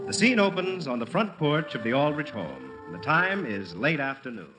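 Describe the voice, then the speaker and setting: A man's voice: mid-range voice, very animated, normal speed, poor audio. A man with an American accent announcing and narrating from a script in 1940s radio style. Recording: old radio recording, music and crowd behind.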